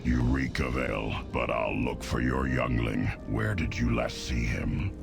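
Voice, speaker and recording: A man playing a huge, stoic, honourable hunter hero in a fantasy game, speaking slowly and tersely in a gravelly voice. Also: rumbling low, fairly even intonation, over music, exaggerated voice. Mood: stoic, solemn. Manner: slow, terse, solemn. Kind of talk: video game character dialogue.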